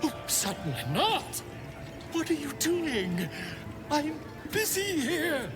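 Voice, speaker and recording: An actor voicing a captive bard who sings theatrically and stammers nervously. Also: male voice, big pitch swings, slow, music in the background, full character voice. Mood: flustered. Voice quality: high, theatrical sing-song delivery with nervous stammering. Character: captive bard forced to sing flattery, flustered and theatrical.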